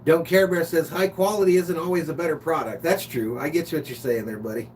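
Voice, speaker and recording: Informal American man streaming, high-pitched, distant mic. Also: brisk. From Los Angeles, United States. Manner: informal, chummy, reads out chat comments. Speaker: live stream sports host. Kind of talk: casual chat with viewers and game commentary. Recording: distant mic, noisy hum.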